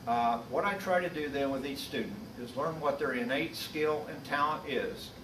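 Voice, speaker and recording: A man's voice: a little high, moderate pace. Roomy, distant-miked man instructor. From US Midwest. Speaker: college business instructor. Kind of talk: prepared introduction to camera. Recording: distant mic, roomy echo, noisy background.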